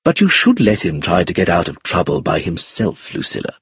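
A man voicing a sensible, cautious guardian who gives measured advice in an audiobook. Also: low voice, wildly swooping pitch, husky, no echo, studio quality, pitched below the performer's own voice, exaggerated voice. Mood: resigned. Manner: measured, advising. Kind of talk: audiobook character voice.

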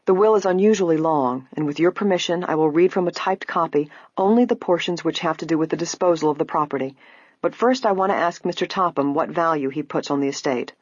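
Calm, formal male lawyer, read by a woman. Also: low-pitched, fast, slightly rough, no room sound, muffled recording. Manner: even, formal.

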